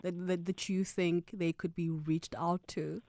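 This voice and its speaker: A woman's voice: fairly low pitch, flat delivery, unhurried, dark tone. A woman hosting a radio interview, asking questions with stumbling repeats of words. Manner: stumbling repetitions when asking questions, attentive "mm" backchannels. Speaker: radio host. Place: radio studio.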